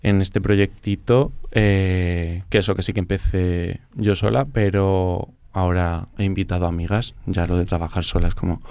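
A man's voice: sits low, little pitch movement, moderate pace, hardly any pauses, a little mellow, smooth, no room sound. A young man from Murcia, a choreographer chatting casually as a guest and laughing often. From Murcia, Spain. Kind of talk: casual interview talk.

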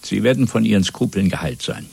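A man playing a cold, fanatical Party interrogator in a radio drama, speaking in a measured, domineering, rhetorical way. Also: fairly low pitch, very animated, gravelly, a little mellow, breathy edge, no echo, exaggerated voice. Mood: dismissive, assured. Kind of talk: German radio drama acting.

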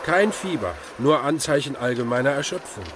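An actor playing a quiet, steady friend of a doctor in a radio drama, polite and understated. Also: male voice, mid-range voice, normal speed, background noise, natural voice. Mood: concerned.

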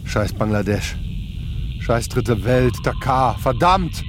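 An actor playing a ruthless, raging middle-aged industrialist facing ruin in a radio drama monologue. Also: male voice, medium pitch, expressive, slow. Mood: furious, panicked. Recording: noisy background with vehicle sounds.

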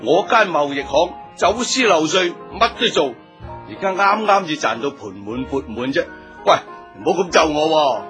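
A brash, shady businessman in a radio drama. His voice is sharp and fairly high, swinging widely as he scoffs and blusters. He is touchy and hot-tempered, with faint music behind him. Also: lively intonation, moderate pace. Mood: blustering. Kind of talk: Cantonese radio drama acting.

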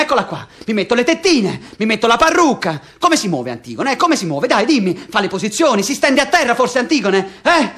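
An actor playing a stubborn, hot-headed, foul-mouthed political prisoner in a theatrical radio drama, speaking vehemently and repeating himself. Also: male voice, sits high, a bit bright, heavily acted.

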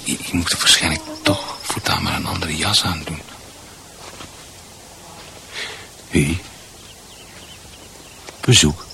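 An actor playing a bewildered, meekly polite husband losing his grip in a radio drama, muttering haltingly and repeating himself. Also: sits low, vocal fry, fairly bright tone, a little hiss or hum, full character voice. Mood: hurt, brooding.

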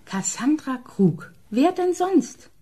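A woman playing a sharp-tongued, sarcastic gallery owner in a sci-fi radio drama, biting and emphatic. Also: high voice, sing-song, slow pace, no room sound, heavily acted. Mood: snappish.

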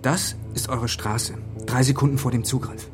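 An actor playing a wry, grumbling and excitable young techie in a naturalistic German radio play, speaking in halting slang. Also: male voice, mid-range voice, music in the background, natural voice. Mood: wry, showing off.

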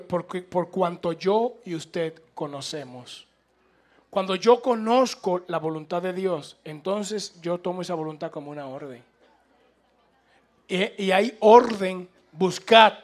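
A man with a Latin American Spanish accent preaching an impassioned, informal sermon, emphatic and repetitive, prodding his listeners to respond. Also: high-pitched. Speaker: preacher taking questions from congregation.

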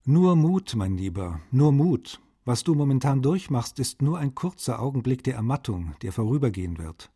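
An actor playing a fatherly, caring and gently nagging male translator, reading letters to a friend in a radio feature. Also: medium pitch, sing-song, normal speed, few pauses, breathy edge. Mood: encouraging.

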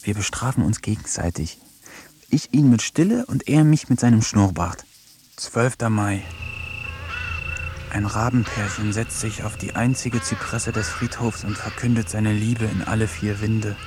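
An actor narrating a radio play as a poet, reading diary-like entries in a wry, introspective way. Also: male voice, fairly low pitch, unhurried, noisy background, close to an everyday voice. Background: music.

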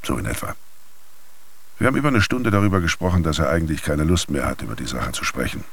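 A man's voice: very deep voice, lively intonation, quick pace, creaky, fairly bright tone, husky, heavily acted. An actor playing a wry investigator in a German radio drama, dictating his field log in a matter-of-fact way. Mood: dry, wry. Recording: music under the voice. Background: music.

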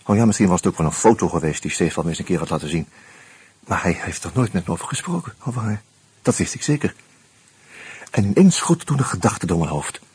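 An actor playing a reflective, wry man who tells his story in a radio play, in a musing, halting, conversational way. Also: deep voice, animated, darkish tone, husky, dry recording, exaggerated voice. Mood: hesitant, searching. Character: reflective male friend telling his story, wry, hesitant. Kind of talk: intimate first-person radio drama narration and dialogue.

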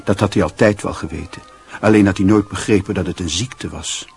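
A man's voice: low voice, fairly even intonation, a little fast, creaky, a little mellow, breathy edge, soft background music, heavily acted. A man giving wry, scripted literary narration in a Dutch radio play. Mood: wry, reflective.

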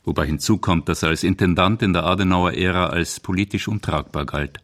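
Male documentary narrator, deep voice, formal measured reading of a script. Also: a bit bright. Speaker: radio feature narrator.

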